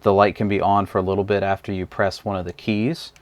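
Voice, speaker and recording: A man with a low, deep voice talks through a handheld device in a relaxed, explanatory way, pointing out buttons and menu options as he goes. The sound is dry and close, with no room echo. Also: brisk. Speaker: product reviewer. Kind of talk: casual hands-on gadget walkthrough.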